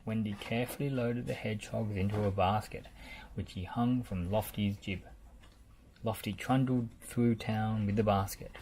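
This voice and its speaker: A storyteller reading a children's picture book aloud in a measured, deliberate way, with background noise. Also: mid-range voice, fairly even intonation, a little mellow, rough recording.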